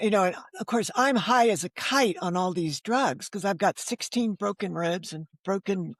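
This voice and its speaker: Older woman with a gravelly, creaky voice. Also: fairly low pitch, dry recording. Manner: frank, self-deprecating, wry; quotes dialogue while telling stories. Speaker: podcast guest, novelist. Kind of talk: candid personal storytelling in an interview.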